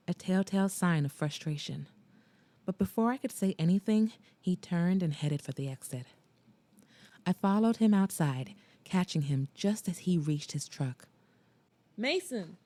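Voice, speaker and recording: A woman narrates a romance audiobook in a soft, intimate storytelling voice. Her tone sits low and mellow, recorded close and completely dry. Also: no echo. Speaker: narrator and podcast host. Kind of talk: first-person audiobook narration.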